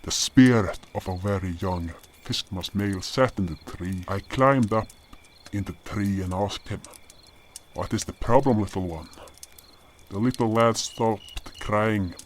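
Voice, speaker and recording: Gentle old guardian spirit played by a man, low register, measured and earnest fantasy storyteller, crickets and noise behind. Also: lightly acted. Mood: solemn, wistful. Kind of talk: audio drama first-person narration and dialogue. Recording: noisy track with cricket ambience. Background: crickets and outdoor night ambience.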